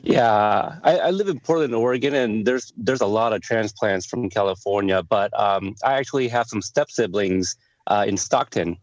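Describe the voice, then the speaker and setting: A man hosting a podcast interview, whose first language was Lao, chatting in a friendly, animated way. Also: fairly low pitch, moderate pace, no echo. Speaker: podcast host. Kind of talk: casual interview chat. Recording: dry, dull lo-fi sound.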